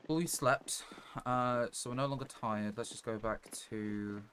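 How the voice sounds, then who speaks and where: A man's voice: medium pitch, steady tone, measured pace, fairly bright tone. A man live streaming a game, thinking aloud in casual commentary over a noisy stream mic.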